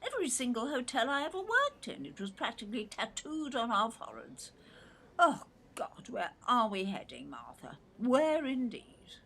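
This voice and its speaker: A woman's voice: on the high side, very animated, normal speed, a bit bright, exaggerated voice. An actress playing an imperious, talkative older English mother in an audio play, with a haughty, theatrical manner. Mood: grandly lamenting. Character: imperious, talkative older mother, grandly aggrieved, gin-drinking. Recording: clear background noise, outdoor ambience.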